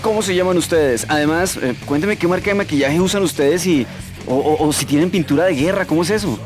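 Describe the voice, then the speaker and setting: An earnest, wary hero of a comic radio serial, played by a man with a Colombian accent. His voice sits a little high and he talks with mock seriousness. Music plays clearly behind him in a studio recording. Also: fairly quick, lightly acted. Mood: earnest questioning. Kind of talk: comic radio drama acting. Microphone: close broadcast microphone.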